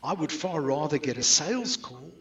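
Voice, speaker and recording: A man's voice: fairly high pitch, animated, normal speed, fairly bright tone. A middle-aged man with a South African accent, answering interview questions conversationally as a business guest. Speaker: guest outsourcing business expert. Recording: roomy echo, distant from mic.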